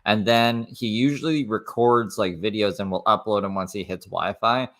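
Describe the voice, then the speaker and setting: A low-voiced man gives casual commentary over someone else's livestream. He rambles and swears freely, with loud asides that drop to quiet mutters. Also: moderate pace.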